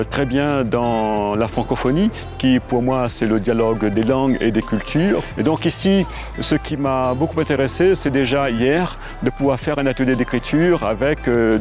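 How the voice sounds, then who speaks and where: A man's voice: mid-range voice, a little slow, a little mellow, soft background music. A man, a novelist whose first language is Creole, speaking outdoors about his passion for writing.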